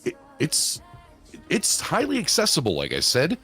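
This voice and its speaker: A man in his late thirties, co-hosting a podcast in casual chat, animated and profane, often asking "you know what I'm saying". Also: a little high, normal speed, creaky, soft background music. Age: 30s. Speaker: podcast co-host.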